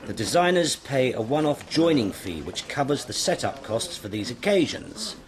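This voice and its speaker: An actor playing a stern British senior detective in a radio drama, with a clipped, commanding delivery. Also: male voice, medium pitch, big pitch swings, normal speed, close to an everyday voice. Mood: businesslike. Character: stern, authoritative male senior police detective briefing his team. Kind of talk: BBC radio drama acting.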